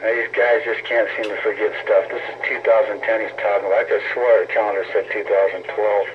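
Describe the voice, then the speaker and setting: A man's voice: on the low side, level tone, fast talker. A man trash-talking in a heated, casual CB radio argument, profane, taunting and sarcastic. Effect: CB radio transmission. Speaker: CB radio operator in an on-air argument. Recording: tinny narrow-band CB radio, noisy static.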